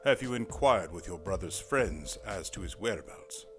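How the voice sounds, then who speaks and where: A man plays a courteous, grave male detective in an audio drama. His speech is precise and old-fashioned, with a low voice that moves through a lively range. Faint music sits behind him. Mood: formal, grave. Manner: formal, measured, old-fashioned wording.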